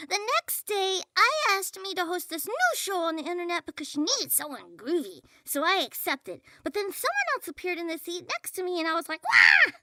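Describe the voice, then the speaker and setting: A woman voicing an excitable, dim, self-important internet sprite in an audio comedy, rambling in mock solemnity. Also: very high-pitched, no echo, studio quality, exaggerated voice. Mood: startled. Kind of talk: audio comedy sketch acting.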